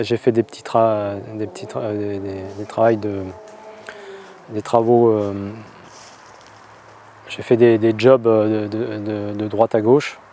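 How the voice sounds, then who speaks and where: A man from France telling his personal testimony, halting and full of hesitations and restarts. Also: fairly low pitch, warm tone, slight background noise. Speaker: convert telling his story.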